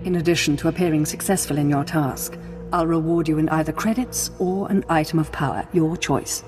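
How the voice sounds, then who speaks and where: A woman's voice: deep voice, fairly quick, natural voice. A woman playing a proud, scheming middle-aged noblewoman in a video game, imperious, formal and dramatic. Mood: cold scheming. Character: proud, scheming Sith noblewoman and protective mother. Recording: long hall reverb, faint music.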